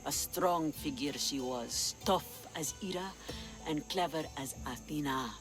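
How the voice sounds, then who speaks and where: A woman's voice: low-pitched, unhurried, music in the background, low-quality recording. A woman playing a sly, commanding pirate leader in a video game, with theatrical delivery. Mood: intimate. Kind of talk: video game cutscene acting.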